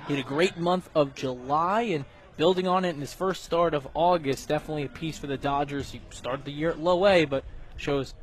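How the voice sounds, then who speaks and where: A man's voice: a little high, fairly quick. A man doing live baseball radio commentary as the analyst, in a conversational, analytical way. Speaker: color commentator and scoreboard announcer.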